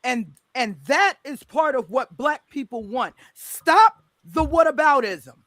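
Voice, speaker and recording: Forceful debate moderator, dry sound. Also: high-pitched, animated, slow, dry recording. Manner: forceful, emphatic, repeats points. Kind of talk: heated panel debate.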